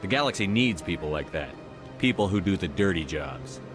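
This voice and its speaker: A man's voice: deep voice, lively intonation, moderate pace. A man playing a human military commander in a video game, direct and matter-of-fact as he asks probing questions. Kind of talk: video game dialogue acting. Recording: music behind the voice.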